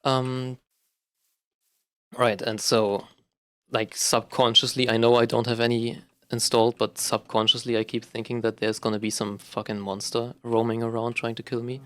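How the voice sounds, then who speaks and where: A man's voice: mid-range voice, little pitch movement, moderate pace, a bit bright, no echo, studio quality. A young man from Germany with a German accent, talking unscripted and rambling while he plays a game, with many fillers and restarts. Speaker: gaming vlogger. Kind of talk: casual unscripted talk while playing a game.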